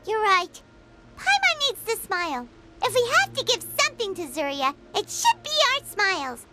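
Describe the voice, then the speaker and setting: Game fairy sidekick: childlike squeak, sky-high and animated, cheerful and earnest, loud music behind. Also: female voice, a little slow, heavily acted. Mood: earnest, hopeful. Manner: perky, exclamatory. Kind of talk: video game voice acting.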